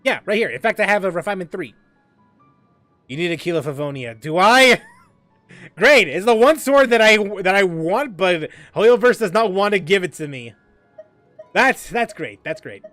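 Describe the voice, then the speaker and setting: Guy streaming a game, high lively voice, lots of uhs and restarts, dry mic with faint music behind. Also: normal speed, no room sound. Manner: rambling, many fillers and restarts, reads chat aloud. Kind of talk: casual gaming stream chat.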